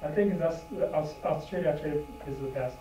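A man gives a halting impromptu speech at a club meeting, full of fillers. He is recorded from far off in an echoey room. Background noise runs under his voice. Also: fairly high pitch, dark tone.

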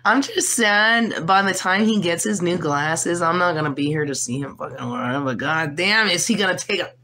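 A woman's voice: on the low side, moderate pace, sharp tone. A middle-aged American woman rambling on a livestream in a profane, confrontational manner. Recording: noisy livestream replay with room ambience.

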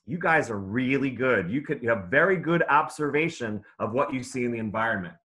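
Male read-aloud teacher for young kids: bright, expressive pitch, clear instructions, encouraging delivery. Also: brisk. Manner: instructive, simple phrasing for young children, encouraging. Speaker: author and teacher reading aloud. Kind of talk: interactive children's read-aloud lesson over video call.

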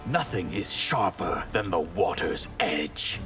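An actor playing a menacing, haughty water sorcerer in a video game, deliberate and arrogant. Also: male voice, low-pitched, animated, music in the background. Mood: menacing, smug. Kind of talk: dramatic video game dialogue.

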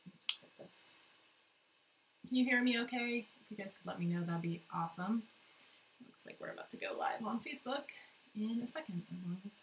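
An American woman in her thirties with a cold, hosting a casual livestream in an informal, halting way. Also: medium pitch, slight background noise, low-quality recording. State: sniffly with a cold. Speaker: livestream host, national director of an advocacy group. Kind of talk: casual livestream chat to viewers. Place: corner of a kitchen in a house, indoors.

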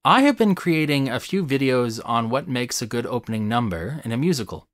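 A man in his thirties narrating a scripted video essay in a measured, deliberate, analytical way. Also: mid-range voice, animated, a bit bright, dry recording, studio quality.

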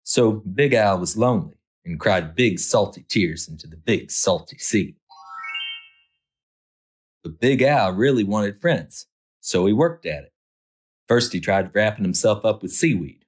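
A man's voice: fairly low pitch, expressive, unhurried, husky. A man narrating a children's picture book as a storytime host, expressively, drawing out key words for effect.

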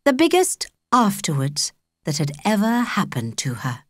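Animated British woman reading a story. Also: on the low side, slightly rough, no room sound, clean studio sound. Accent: British English. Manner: expressive, measured storytelling. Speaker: audiobook narrator. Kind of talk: scripted children's story narration.

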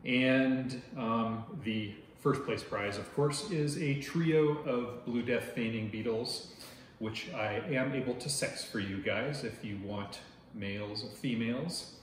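A man's voice: mid-range voice, slow. Chatty man in a very echoey room. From Oregon, United States. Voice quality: loud voice. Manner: chatty, explanatory, lots of filler words. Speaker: insect channel host. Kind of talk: casual talk to camera. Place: dining room at home, indoors. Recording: very echoey room, faint noise.

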